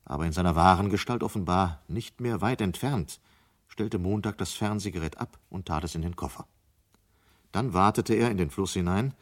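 A man narrating a scripted radio play in German as its storyteller. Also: deep voice.

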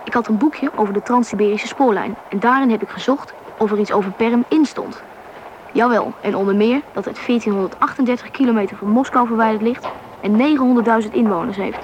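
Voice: medium pitch, a little fast, a little hiss or hum, close to an everyday voice, low-quality recording. An actor playing a young boy who narrates his family's journey in an informal, matter-of-fact way for a radio drama. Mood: recounting. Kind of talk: scripted first-person narration, storytelling.

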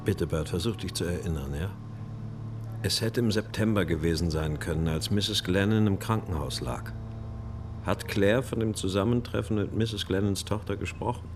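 An earnest, deep-voiced detective. He presses gently and carefully, in radio crime drama style. Music is clearly heard underneath. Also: normal speed.

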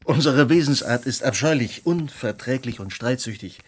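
An actor playing a tipsy, impassioned Romantic artist in a radio drama, declaiming bitter philosophy with halting dramatic pauses. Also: male voice, mid-range voice, animated, slightly rough. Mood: bitter, proud. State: drunk. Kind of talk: German radio drama acting.

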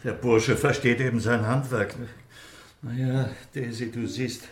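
A man's voice: medium pitch, fairly even intonation, measured pace, roomy sound, slight background noise, natural voice. An actor playing a good-natured middle-aged husband and father in a German radio play, hesitant and soothing. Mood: placating.

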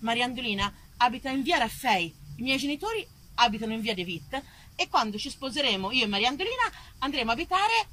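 A man's voice: very high-pitched, big pitch swings, quick pace, pushed and bright, full character voice, poor audio. A woman playing a chatty, literal-minded small-town registry clerk, a man who rambles on. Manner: chatty, rambling, matter-of-fact.

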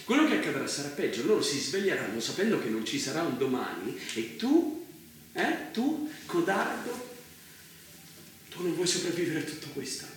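A man acting a stern, confrontational infiltrator who bitterly rejects his brother in an Italian stage drama, moving between low menace and indignation. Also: sing-song, normal speed. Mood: grave, menacing. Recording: echoey room, distant mic, faint noise.

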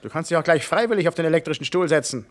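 A man's voice: a little high, animated, racing, natural voice. A man playing a cold-hearted, sneering killer who leads a gang in a German radio crime satire. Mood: ruthless, commanding.

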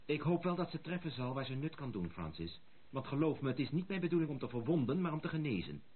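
An actor playing a self-assured, courteous but stubborn young gentleman in a radio drama, speaking with formal irony. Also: mid-range voice, big pitch swings, fast talker, lightly acted. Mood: ironic, earnest. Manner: ironic, formal. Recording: tinny, noisy old radio recording.

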